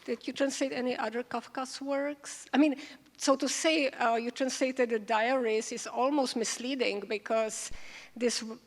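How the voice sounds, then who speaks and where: A woman moderating an on-stage interview, speaking unscripted in a halting, careful way with restarts. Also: on the high side, steady tone. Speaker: moderator, scholar. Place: large event hall stage. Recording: echoey hall with long reverb.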